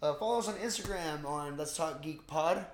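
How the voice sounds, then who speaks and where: A young man co-hosting a podcast, chatting casually and excitably in slangy speech. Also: on the high side, moderate pace. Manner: slangy, excitable, says bro and dude often. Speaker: podcast co-host. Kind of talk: casual chat about geek movies. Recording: roomy, echoey sound.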